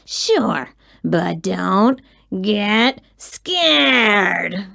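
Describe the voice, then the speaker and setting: A woman's voice: medium pitch, sing-song, extremely slow, gravelly, sharp tone, full character voice. A woman voicing a haughty, snappish girl monster in a storybook. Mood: cautioning. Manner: snappish, sniffy.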